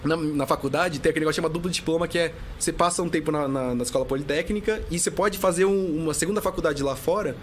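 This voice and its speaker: A man in his thirties speaks as a podcast guest in a rapid, animated stream, full of restarts. His voice sits a bit high for a man, and his words tumble out quickly. A faint noise floor runs under the recording. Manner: fast, animated talk full of restarts and fillers. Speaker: guest, customs tax auditor. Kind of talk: casual podcast interview.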